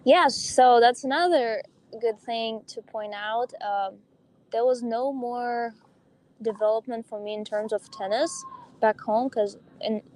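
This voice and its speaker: A young woman speaks openly in a podcast interview, her colouring her English. Her voice sits on the higher side. She talks in a loose, chatty way, with soft laughs and ums between her thoughts. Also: unhurried, no room sound, rough recording. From Russia. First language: Russian. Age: young adult. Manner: open and chatty, with light laughs and filler ums. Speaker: guest, professional pickleball player.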